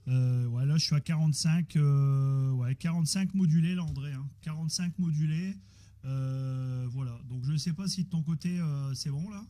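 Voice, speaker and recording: A man with a slightly high voice talks casually as a radio operator. He is hesitant and repeats himself as he works through technical checks, with clear noise on the audio. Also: moderate pace, dark tone. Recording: noisy amateur radio audio with static.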